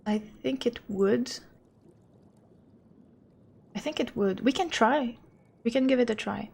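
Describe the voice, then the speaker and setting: A woman's voice: fairly high pitch, unhurried, gravelly, a little mellow, slightly rough. A woman game streamer chatting casually as she thinks aloud, rambling and a little self-deprecating. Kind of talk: casual livestream chat and commentary.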